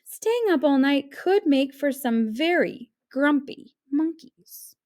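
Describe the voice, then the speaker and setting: A woman's voice: sits high, expressive, takes it slowly, a little mellow, voice pushed up. A woman voicing a doting, teasing mother ape in a children's storybook, affectionate and sing-song. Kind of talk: children's storybook read-aloud.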